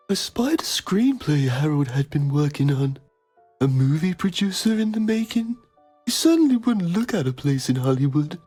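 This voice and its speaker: A man's voice: on the high side, mellow, breathy edge. A man voicing a solemn, self-important documentary journalist in a comedy game. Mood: solemn, intrigued. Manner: solemn, pompous. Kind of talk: mock documentary narration.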